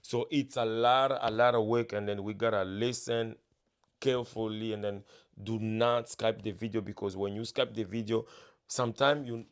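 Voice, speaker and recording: Earnest motivational speaker, male, non-native English, lowish voice, halting delivery. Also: steady tone. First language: not English. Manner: earnest, halting, many restarts and fillers. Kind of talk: casual motivational monologue.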